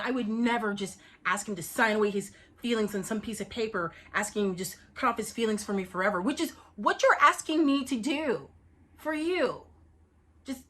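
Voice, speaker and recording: A woman performing a theatrical monologue as a distraught, wry wife pleading against divorce, emphatic and halting, swinging between sarcasm and pleading. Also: medium pitch, lightly acted. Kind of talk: theatrical dramatic comedy monologue.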